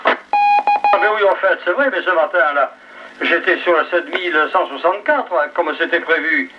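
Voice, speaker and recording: Chatty guy on ham radio, kinda high voice, muffled far-off sound. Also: wildly swooping pitch, moderate pace, breathy edge. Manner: chatty, joking. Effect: two-way radio transmission. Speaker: amateur radio operator. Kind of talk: casual radio chat with sign-offs. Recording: dull, noisy ham radio relay, distant.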